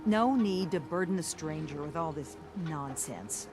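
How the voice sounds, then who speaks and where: A weary, diplomatic harbor town leader in a video game. She is plain-spoken and measured, a firm peacekeeper who hesitates as she chooses words. Music plays clearly behind her. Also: deep voice, animated. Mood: firm, exasperated. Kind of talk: video game dialogue acting.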